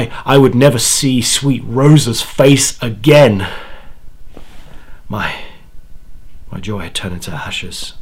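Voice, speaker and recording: A man playing an anguished, dying old grandfather in a first-person horror monologue, speaking haltingly and dramatically with repeated words. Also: mid-range voice, slightly rough. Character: anguished dying old grandfather confessing his terrible bargain.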